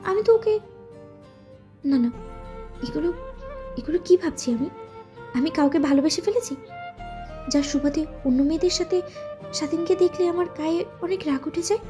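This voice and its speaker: A woman's voice: very high-pitched, moderate pace, pauses often, mellow, soft background music. A woman playing a jealous, lovesick young woman in an audio story drama monologue. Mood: regretful, confused.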